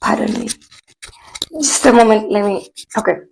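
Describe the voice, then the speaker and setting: A woman talking casually to her viewers, chatty and rambling with many fillers, her voice hoarse from a cold. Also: medium pitch, moderate pace, a little mellow, a little hiss or hum, low-quality recording. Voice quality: husky, gravelly. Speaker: vlogger. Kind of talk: casual commentary to camera.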